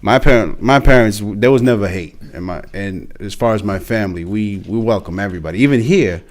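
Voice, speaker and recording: A man's voice: lowish voice, expressive, fairly quick. A man from the former Yugoslavia who grew up mostly in Serbia, talking informally about himself in a casual group discussion. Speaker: podcast cast member.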